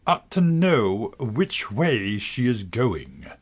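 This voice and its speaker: A man voicing a fussy, prim old gentleman who speaks slowly, in a storybook reading. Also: mid-range voice, wildly swooping pitch, breathy edge, full character voice. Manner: slow and prim.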